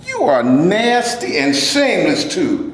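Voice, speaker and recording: A man reading a proud, defiant actor who argues with emphatic conviction. His voice sits high and swings widely in pitch. It is heard from a distance in an echoey hall with faint noise behind it. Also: huge pitch swings, slow, heavily acted. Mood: indignant. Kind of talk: amateur stage reading. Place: stage before a live audience.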